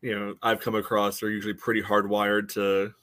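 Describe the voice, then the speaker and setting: An American man co-hosting a soccer podcast, talking casually and analytically in a rambling, halting way. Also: on the low side, monotone, fairly bright tone. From United States. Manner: rambling, hesitant, frequent "you know". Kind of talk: casual chat, match analysis.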